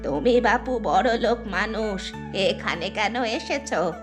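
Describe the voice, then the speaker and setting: A woman's voice: medium pitch, expressive, slow. A woman playing a blunt village auntie in a moral-story cartoon, sarcastic and reproachful. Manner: scolding, sarcastic. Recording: music clearly behind the voice.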